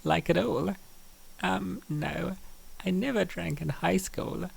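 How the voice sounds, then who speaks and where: A man voicing bantering young college women roommates in a comic dub, with a raspy, creaky voice. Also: high voice, slow pace, heavily acted, poor audio. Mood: casual, bantering.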